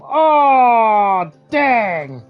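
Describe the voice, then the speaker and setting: A woman's voice: on the high side, very animated, extremely slow, muffled recording, faint music behind. A woman streaming a game and reacting with laughs and exclamations. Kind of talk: live gameplay reactions.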